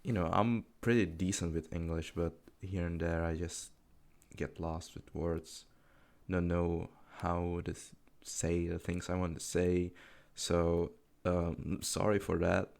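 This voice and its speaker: A man's voice: low-pitched, little pitch movement, takes it slowly, gravelly, a little mellow. An eighteen-year-old man, rambling unscripted over gameplay in a self-deprecating, swearing, laugh-filled way. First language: Czech. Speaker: gaming commentary YouTuber.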